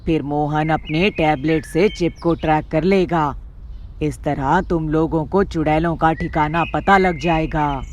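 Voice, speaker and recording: A woman voicing a calm village holy man who gives measured counsel in a folk story. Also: low-pitched, slight background noise, pitched below the performer's own voice. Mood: advising. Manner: instructive, measured.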